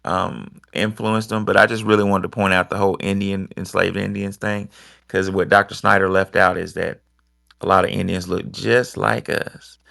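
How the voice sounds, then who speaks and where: A man hosting an online discussion, giving informal commentary and reading quotes in a halting, deliberate way. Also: deep voice, level tone, gravelly, sharp tone, no echo, clean studio sound. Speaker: host of an online audio discussion. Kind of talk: informal commentary with read quotations.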